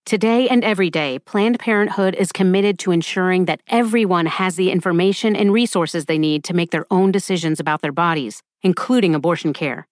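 A woman reading a scripted advertisement voice-over in an earnest, steady tone. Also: lowish voice, a little fast, hardly any pauses, dry recording, studio quality. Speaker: advertisement narrator.

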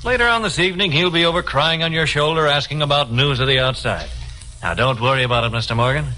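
An actor playing an easygoing, reassuring young husband in a 1950s radio drama. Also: male voice, a little high, quick pace, bright, forceful tone, low-quality recording. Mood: breezy, soothing. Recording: old 1950s radio recording.

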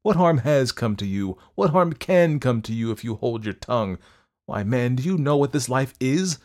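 A man's voice: mid-range voice, expressive, moderate pace, a little mellow, voice pushed up, lightly acted. A man voicing a cynical young doctor and murderer in a storybook reading, controlled, worldly and coaxing. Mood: worldly cynicism.

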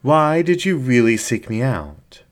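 A man voicing a seductive, haughty fox incubus ringmaster in a story, with a drawn-out, theatrical, teasing delivery. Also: medium pitch, very animated, pitched above the performer's own voice. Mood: aloof.

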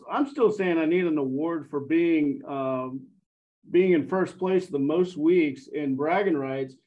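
A man joins a sports podcast as a guest and rambles with lots of fillers. His voice sits a bit high. The recording sounds dull and lo-fi. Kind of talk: casual sports chat.

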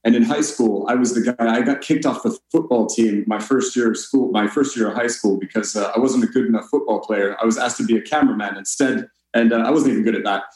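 A man's voice: medium pitch, quick pace, few pauses, a little mellow, reverberant, poor audio. An American man from Colorado speaking as a podcast guest, telling stories casually in a gracious, self-deprecating way. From Colorado, United States. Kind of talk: casual conversational storytelling.

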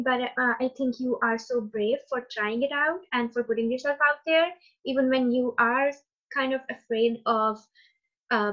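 A woman's voice: high voice, a bit bright. A woman whose first language is Urdu, talking in a reflective, encouraging way with some hesitant fillers. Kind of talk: casual conversation. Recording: slightly dull lo-fi sound.

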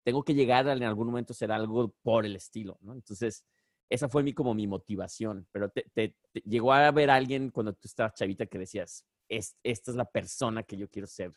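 A man's voice: on the low side, a little fast, no room sound, studio quality. A man with a Mexican Spanish accent, hosting a casual podcast, joking and slangy, laughing often. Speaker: podcast host.

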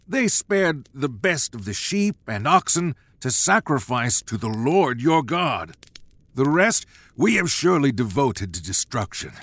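A man's voice: medium pitch, very animated, unhurried, slightly rough, dry recording, voice pushed up, full character voice. A man voicing a proud, blustering, insecure ancient king who swings between pride and pleading. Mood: nervous. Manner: loud and wavering, shifting between pride and pleading. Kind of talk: storybook drama reading.

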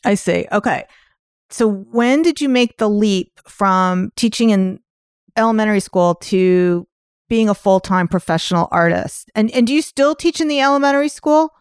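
A woman hosting a podcast interview in a chatty style, jumping between quick asides and her next question. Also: medium pitch, smooth, no room sound, clean studio sound. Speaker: podcast host. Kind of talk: casual interview chat. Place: New York.

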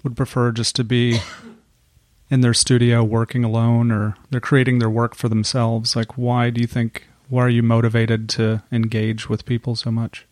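A man hosting a podcast who introduces his guest and asks relaxed, curious questions. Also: mid-range voice, moderate pace, no room sound. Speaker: podcast host. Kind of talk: podcast interview.

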